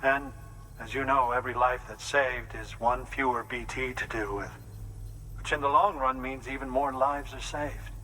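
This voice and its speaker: An actor playing a kindly, courteous older doctor who speaks earnestly in a video game. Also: male voice, medium pitch, fairly even intonation, normal speed, sharp tone, close to an everyday voice, low-quality recording. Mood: imploring. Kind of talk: video game cutscene acting.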